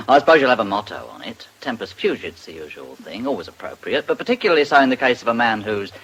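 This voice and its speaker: An actor playing a jovially menacing blackmailer in a radio drama, with a mocking, falsely chummy manner. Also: male voice, medium pitch, sing-song, fast, fairly bright tone, a little hiss or hum, low-quality recording. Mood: sneering.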